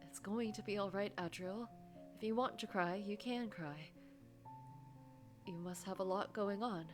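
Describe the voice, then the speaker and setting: A gentle, worried man as a woman plays him speaks in a story game with soft-hearted concern. He is apologetic and apt to stammer, and music plays underneath. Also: mid-range voice, a little slow, close to an everyday voice. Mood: soothing.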